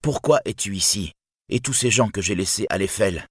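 A man's voice: lowish voice, expressive, moderate pace, breathy edge, dry recording, clean studio sound. A man voicing a proud, wounded warrior hero in a fantasy storybook, declaiming in an imperious, indignant way. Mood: indignant, demanding. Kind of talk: dramatic fantasy storybook reading.

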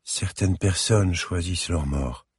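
A man voicing an anxious adult mother who speaks softly and apologetically to her daughter in an audiobook. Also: low-pitched, unhurried, slightly rough, no room sound, studio quality, full character voice. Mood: sorrowful. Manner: soft, apologetic pleading.

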